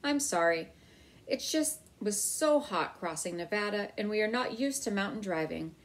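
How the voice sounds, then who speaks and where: A woman's voice: mid-range voice, expressive, normal speed, natural voice. A woman narrator voicing an anxious mother on a road trip, fretful and complaining, in a storybook reading. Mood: apologetic.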